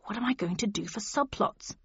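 A woman's voice: mid-range voice, lively intonation, fairly quick, husky, no room sound, highs cut off. A woman voicing a brooding, conflicted male detective in an audiobook, earnest and protesting. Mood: agitated, protesting.

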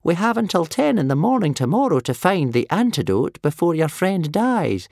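Wheezy, croaky ancient soothsayer. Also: male voice, on the high side, big pitch swings, darkish tone, no echo, studio quality, voice pushed up, heavily acted. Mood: muttering, grave. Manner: slow, muttering, tut-tutting. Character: ancient wheezy male soothsayer and village healer, gloomy.

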